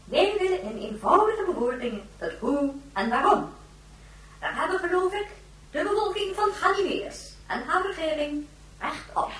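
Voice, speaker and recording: A woman's voice: extremely high pitch, animated, moderate pace, fairly bright tone. A woman playing a stern, formal female president in a science fiction radio drama. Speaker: actor in a radio play. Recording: very echoey hall, faint hum.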